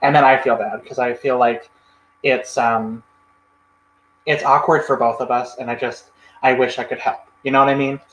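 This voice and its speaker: A man's voice: mid-range voice, flat delivery, moderate pace, fairly bright tone, echoey room, slight background noise, rough recording. A man hosting a casual livestream, reading and answering chat comments in a rambling, friendly way with lots of fillers and restarts. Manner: friendly, thanks viewers often. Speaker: livestream host.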